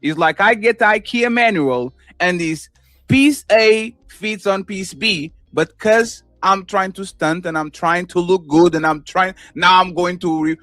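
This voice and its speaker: A man with a Portuguese accent giving his opinions on a podcast, animated, swinging between loud and soft and acting out quotes. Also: sits high, a little slow, dry recording, soft background music. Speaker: podcast co-host. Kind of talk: casual opinion talk.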